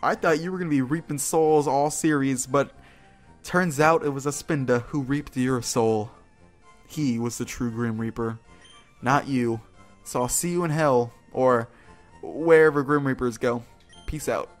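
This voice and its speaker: Male gaming host, mid-pitched, casual and self-mocking with light swearing, game music underneath. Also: a little slow. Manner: jokey, self-deprecating, informal with mild swearing. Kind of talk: casual gameplay commentary. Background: video game music.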